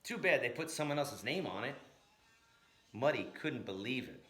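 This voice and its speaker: A man reading a story aloud in an expressive, dramatic narrating style with emphatic, rhythmic phrasing. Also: mid-range voice, moderate pace. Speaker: storyteller reading a picture book aloud, blues educator. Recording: roomy, echoing room sound.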